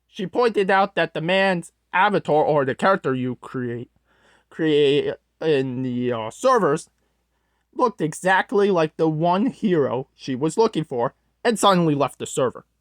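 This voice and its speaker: Slow, halting storyteller, very dry sound. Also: medium pitch, lively intonation, dry recording. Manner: halting, corrects own wording mid-sentence. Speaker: host, storyteller. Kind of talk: creepypasta storytelling narration.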